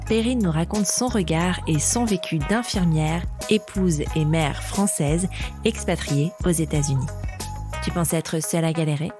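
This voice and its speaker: Low-pitched woman podcast host. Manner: informal, addresses listener and guest familiarly. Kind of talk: podcast introduction and conversational interview.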